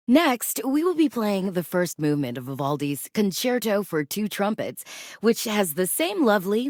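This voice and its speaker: An actress playing a cultured, gracious public radio host in a video game, presenting classical music in a measured, articulate and formal way. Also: female voice, fairly low pitch, darkish tone, no echo, clean studio sound.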